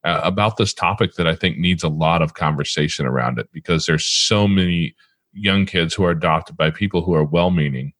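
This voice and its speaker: Midwestern male podcast host, very low voice, dry close sound, chatty and meandering. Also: brisk, fairly bright tone, no echo. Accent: Midwestern American. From American Midwest. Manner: rambling, restarts often. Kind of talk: casual interview chat.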